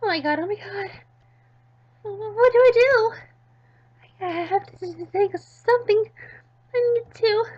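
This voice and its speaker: A woman's voice: extremely high pitch, measured pace, long pauses, lo-fi sound, pitched above the performer's own voice. A woman voicing an anxious, kind-hearted teenage anime girl in a visual novel, pleading and stammering. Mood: panicked.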